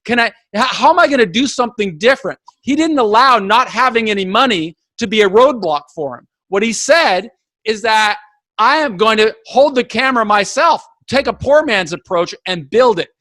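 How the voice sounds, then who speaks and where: High-voiced, emphatic male interview host. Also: expressive, moderate pace, pushed and bright, no room sound. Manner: emphatic, motivational, speaks straight to listeners. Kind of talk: interview with motivational recaps. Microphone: video call.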